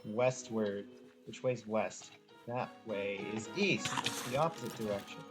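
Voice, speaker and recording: Man commentating over loud game music. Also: lowish voice, a little slow. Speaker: gaming video creator. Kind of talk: casual playthrough commentary.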